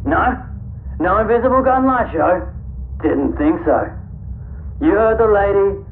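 An actor playing a taunting, suspicious armed interrogator in a sci-fi audio drama, sarcastic and menacing through a radio filter. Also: male voice, sits high, expressive, a little slow. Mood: mocking. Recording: tinny radio sound with faint noise.

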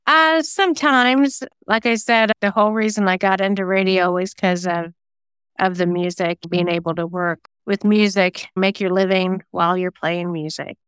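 A woman's voice: mid-range voice, steady tone, normal speed, fairly bright tone, clear, resonant tone, dry recording. A woman answering interview questions in a chatty, informal way, explaining at length as a guest. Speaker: guest, singer-songwriter and self-published novelist.

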